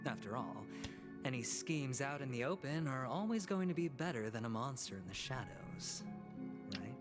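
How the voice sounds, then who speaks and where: A man voices a young negotiator from a fantasy game, slick and scheming. He persuades with theatrical, sly polish at a middling pitch. Music plays clearly behind him. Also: expressive, moderate pace, natural voice. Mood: calm, insinuating. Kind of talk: theatrical video game voice acting.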